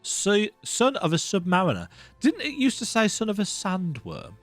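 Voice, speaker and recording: Lively, animated man commentating on a game. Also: high voice, normal speed. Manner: chatty, self-deprecating. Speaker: let's play host. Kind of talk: casual gameplay commentary. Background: video game music.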